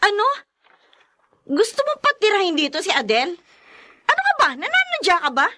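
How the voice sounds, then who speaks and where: High-pitched exasperated bossy big sister. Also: female voice, huge pitch swings, fairly quick, pushed and bright, heavily acted. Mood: indignant. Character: exasperated, bossy, protective elder sister and wife. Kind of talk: radio drama acting.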